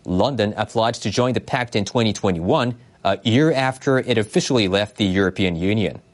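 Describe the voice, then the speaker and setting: A man's voice: on the low side, moderate pace. A man delivering scripted news narration as a newsreader. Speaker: news reader.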